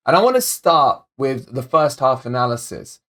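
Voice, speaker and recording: A man hosting a football fan channel, talking casually and directly to viewers in a match analysis, his voice still a little croaky. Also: mid-range voice, big pitch swings, moderate pace, fairly bright tone. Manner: chatty, direct, addresses viewers as guys. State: slightly croaky voice. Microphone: desk microphone.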